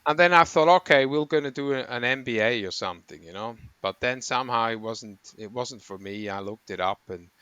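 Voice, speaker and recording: Swiss man, bright crisp voice. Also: medium pitch, a little fast, hardly any pauses, no room sound, muffled recording. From Switzerland. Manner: rambling, self-correcting explanations with frequent ums. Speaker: guest, running coach. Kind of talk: conversational interview.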